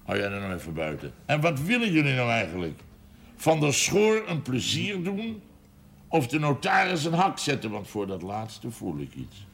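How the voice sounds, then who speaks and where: Middle-aged Dutch businessman, gruff, grumbling, gravelly, animated intonation, TV drama. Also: mid-range voice, normal speed, a little hiss or hum. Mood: blunt, shrewd. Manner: blunt, grumbling. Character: gruff, shrewd, wealthy village businessman, blunt father. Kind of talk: naturalistic television drama acting.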